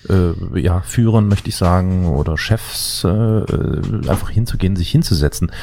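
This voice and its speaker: A man's voice: low-pitched, moderate pace, vocal fry, mellow, slight background noise. A man co-hosting a casual history podcast, asking hesitant questions full of fillers. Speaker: podcast co-host.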